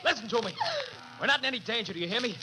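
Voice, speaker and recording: A menacing young male criminal, barks insistently with desperate force. The style is 1940s radio melodrama, heard through a clearly noisy old recording. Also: very high-pitched, animated, very fast. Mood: agitated, insistent. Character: desperate, bullying young male murderer and thief. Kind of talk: 1940s radio drama acting.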